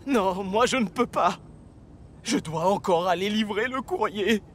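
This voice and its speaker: A man's voice: high voice, a little slow, noisy background. A man playing a timid, imaginative young train engine, earnest and wide-eyed, in a children's cartoon dub. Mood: nervous. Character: timid, imaginative, easily frightened young male talking engine. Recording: music behind the voice.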